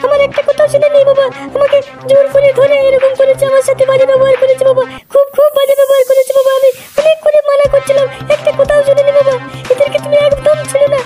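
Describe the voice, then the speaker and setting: A woman's voice: extremely high pitch, completely flat delivery, quick pace, hardly any pauses, over music. A woman voicing an indignant young village bride who complains and threatens in a comedy cartoon. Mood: tearful complaint. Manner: complaining, repetitive. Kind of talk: Bengali comedy cartoon dialogue.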